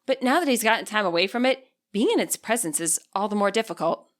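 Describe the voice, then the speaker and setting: A woman reading expressive scripted narration as the author and narrator of an audiobook. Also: medium pitch, quick pace.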